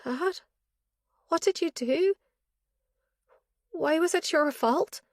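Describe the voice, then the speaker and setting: A woman's voice: extremely high pitch, slow, dry recording, studio quality, pitched above the performer's own voice, exaggerated voice. A woman narrator voicing an anxious adult daughter who sounds strained and pleading.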